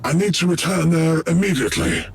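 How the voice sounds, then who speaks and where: An actor playing a proud, resolute alien elf war leader in a video game, speaking in a solemn, formal, commanding way. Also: male voice, a little high, animated, fairly quick, vocal fry. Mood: urgent, grave. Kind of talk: video game cutscene acting.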